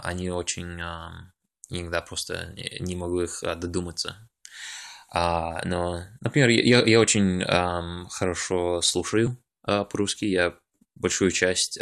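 A young man who left Russia as a child, talking casually and hesitantly, often pausing with fillers as he searches for words. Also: low-pitched, gravelly. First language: English now dominant. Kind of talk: casual monologue recording.

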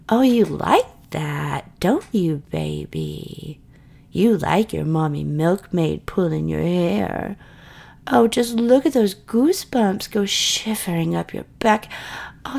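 A woman in an intimate audio roleplay, speaking softly and coaxingly, often dropping into whispers between breathy sighs and murmurs. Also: lowish voice. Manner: soft, coaxing and doting, slipping into whispers.

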